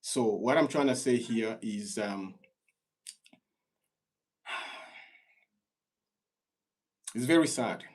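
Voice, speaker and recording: A man from Congo hosting a live stream Q&A, giving earnest, repetitive immigration advice to callers and rising in pitch when worked up. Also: lively intonation, drawn-out words, pauses often, husky.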